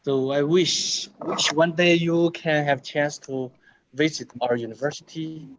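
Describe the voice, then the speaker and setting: A middle-aged man from China, chatting in halting English with his online tai chi class over a video call. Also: on the high side, steady tone, slight background noise. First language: Chinese. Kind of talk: casual chat with online class. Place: martial arts hall. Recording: slightly dull video call audio.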